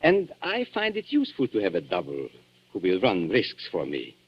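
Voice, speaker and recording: An actor playing a suave, menacing antique dealer with formal, sardonic politeness in a 1940s radio thriller. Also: male voice, low-pitched, wildly swooping pitch, moderate pace, slightly rough, full character voice. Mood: cool menace. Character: suave, menacing male antique dealer toying with guilty visitors. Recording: dull 1940s radio broadcast.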